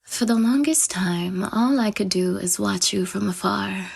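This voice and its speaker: A woman voicing a vain, possessive goddess in an audio roleplay, with a breathy, whispery voice and a self-adoring, teasing manner. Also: mid-range voice, unhurried, darkish tone, full character voice. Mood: conspiratorial. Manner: self-adoring, teasing, sing-song swings up. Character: vain, possessive female goddess, adult, obsessive.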